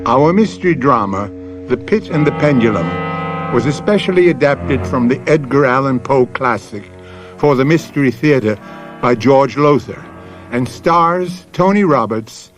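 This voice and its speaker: Casting: American male mystery host, mid-pitched, dramatic and measured, highly varied intonation, faint music behind. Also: animated. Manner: dramatic, measured, ominous rhetorical phrasing. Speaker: host of a radio mystery series. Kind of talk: theatrical scripted narration, vintage radio.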